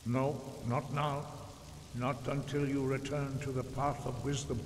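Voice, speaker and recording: An actor playing a stern, wise old monk master in a fantasy video game, speaking in a solemn, formal, measured and admonishing way. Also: male voice, mid-range voice, level tone, slight background noise, natural voice. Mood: stern, reproachful. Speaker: game character, mentor. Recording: echoey stone hall, music behind.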